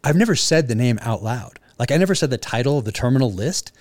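A man who is an author, chatting casually in an interview and telling relaxed stories with plenty of fillers. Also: mid-range voice, quick pace, dry recording. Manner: relaxed storytelling with frequent fillers.

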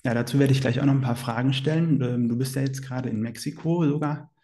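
A man's voice: mid-range voice, steady tone, quick pace, warm tone. A man interviewing a guest, asking long, hesitant questions in a casual tone.

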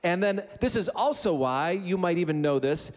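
A male pastor delivers a sermon in a relaxed, conversational way, slipping in casual asides and light humor. His voice sits a bit high for a man. The sound carries the long reverb of a church hall. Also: normal speed. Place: church sanctuary.

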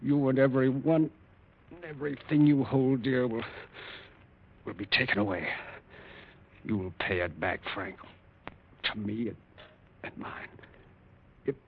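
A man's voice: medium pitch, fairly even intonation, unhurried, creaky, pauses often, slight background noise. A man acting in a 1940s radio drama as an embittered, vengeful prospector, menacing and melodramatic. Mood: dying, vengeful. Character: embittered prospector brother, vengeful, threatening as he dies. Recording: dull, lo-fi old radio recording.

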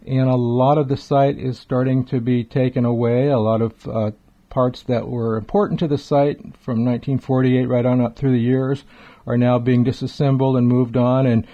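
A man's voice: mid-range voice, flat delivery, normal speed, mellow. A middle-aged or older man hosting a retro radio talk show, speaking in a chatty, wordy, enthusiastic way with emphatic repetition. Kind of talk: enthusiastic conversational show introduction. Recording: dull, lo-fi sound.

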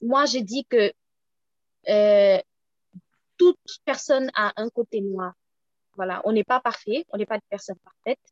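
A woman from Senegal with a Senegalese French accent, speaking as a guest and making an earnest, measured appeal for support. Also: medium pitch, dry recording, dull audio. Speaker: guest, project founder.

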